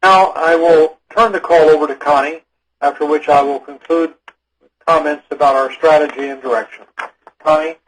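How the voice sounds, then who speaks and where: A man's voice: fairly high pitch, steady tone, normal speed, low-quality recording. A man formally reading a scripted business report as a company executive on an earnings call. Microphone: phone or conference call line.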